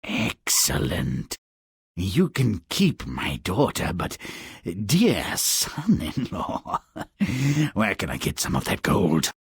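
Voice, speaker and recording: An actor voicing a haughty, scheming, cruel king in a fairy tale game, with pompous, menacing, drawn-out delivery. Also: low voice, huge pitch swings, husky, no echo, full character voice. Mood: greedy, haughty.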